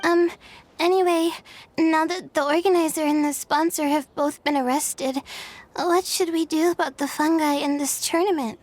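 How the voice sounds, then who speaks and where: A polite young game girl acted by a woman with a high voice. She speaks tentatively and courteously. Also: fairly even intonation, moderate pace, lightly acted. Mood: hesitant. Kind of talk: video game character acting.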